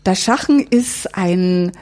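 A woman's voice: fairly low pitch, animated, slow, warm tone. A woman, speaking as a curator in a conversational interview and explaining with rhetorical questions. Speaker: exhibition curator and historian. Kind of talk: conversational interview, guiding through an exhibition.

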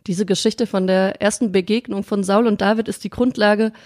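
A woman hosting a podcast on sermon ideas, chatting in a friendly way and reading a scripture passage aloud in a measured voice. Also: mid-range voice, steady tone, darkish tone, dry recording, studio quality. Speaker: podcast host. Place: studio or quiet room, sitting across from the guest.

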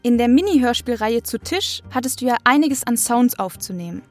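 A woman's voice: fairly high pitch, animated, fairly quick, faint music behind. A woman acting as interviewer, reading out prepared questions to her guest. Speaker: interviewer, intern at audio drama label.

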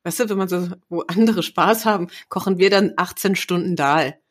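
A woman co-hosting a podcast in relaxed chat, lively and teasing, laughing often. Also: on the low side, moderate pace. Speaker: podcast co-host. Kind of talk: casual chat.

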